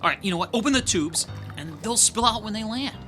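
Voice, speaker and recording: Eager, animated eco-saboteur, music behind. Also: male voice, high voice, fast talker. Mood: confident, eager. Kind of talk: modern radio drama acting.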